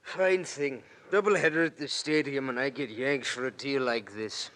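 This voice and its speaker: A man's voice: medium pitch, moderate pace, fairly bright tone, natural voice. An American actor playing a slangy, wisecracking working-class tough guy who grumbles, in old radio drama style. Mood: grumbling, skeptical. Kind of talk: 1940s-50s radio drama acting. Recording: hissy old-time radio recording.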